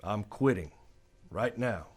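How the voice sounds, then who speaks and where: An actor playing a weary, resolute Old West marshal in a radio western. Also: male voice, fairly low pitch, lively intonation, slow, slightly rough, heavily acted. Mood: firm, resigned. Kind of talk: radio western acting and first-person narration.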